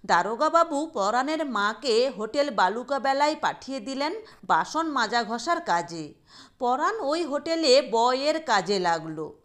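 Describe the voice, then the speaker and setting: A woman narrating a literary short story in a deliberate, expressive storytelling manner. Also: sits high.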